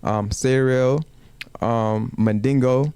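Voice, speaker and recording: A man whose first language is Wolof talks through his point in a measured way. He keeps asking rhetorical questions and checking in with the others. The sound is dry and close, with little room echo. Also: medium pitch, steady tone, a little mellow, smooth. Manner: measured, asks rhetorical questions, says "you know what I'm saying". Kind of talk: casual panel discussion. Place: community media studio.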